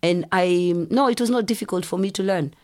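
Animated Kenyan woman telling stories. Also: on the low side, a little fast. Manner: animated storyteller, acts out quoted voices. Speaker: guest. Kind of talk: casual storytelling interview.

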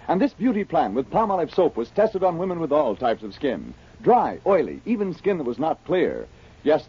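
A man's voice: a little high, slightly rough, highs cut off. A man working as a commercial announcer, reading scripted sales copy in 1940s radio style. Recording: band-limited 1940s radio recording.

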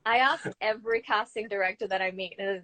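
A woman's voice: mid-range voice, brisk, over a noisy crowd. A young adult woman with an Australian accent, talking in an informal, anecdotal way as the guest in a casual interview. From Brisbane, Australia. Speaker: guest, actor and acting student.

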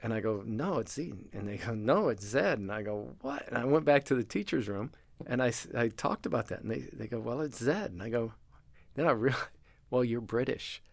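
A man's voice: mid-range voice, a little fast, creaky, dry recording. An older man who directs a language center, telling stories in a casual interview, acting out dialogue and laughing often. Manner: animated storyteller, acts out dialogue, laughs often.

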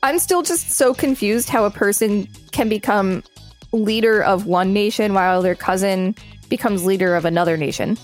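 A woman's voice: mid-range voice, normal speed, soft background music. Female podcast co-host reading aloud. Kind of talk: reading an article aloud with casual commentary.

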